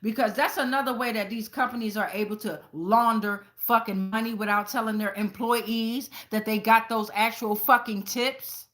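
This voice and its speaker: Bright, high-voiced woman reading tarot. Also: fairly even intonation, moderate pace, hardly any pauses. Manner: blunt, heavy casual swearing; conversational, addresses viewers directly with frequent check-ins. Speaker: tarot reader and channel host. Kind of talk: casual tarot reading with personal anecdotes.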